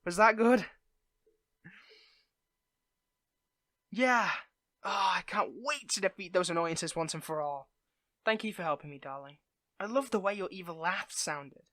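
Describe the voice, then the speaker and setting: A performer voicing a theatrical supervillain who is tender with a partner, in an intimate audio roleplay that moves between softness and grandiose menace. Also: medium pitch, normal speed, no echo, studio quality, natural voice. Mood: pleased, doting. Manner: switches between soft affection and grandiose menace.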